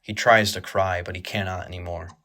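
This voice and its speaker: A man narrating a scripted horror audio drama in a measured, grave manner. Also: sits low, level tone, pushed and bright.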